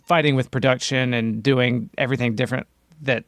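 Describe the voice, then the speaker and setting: A man's voice: medium pitch, steady tone, brisk, no echo. A man co-hosting a podcast, discussing television casually and arguing his points with emphasis. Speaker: podcast co-host.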